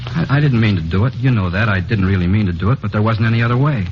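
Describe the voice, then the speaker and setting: Actor as a halting, earnest young man, low-ish voice, quick pace, dull old radio with hiss. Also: lively intonation, close to an everyday voice. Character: troubled young man confessing a killing. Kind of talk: 1950s radio drama acting.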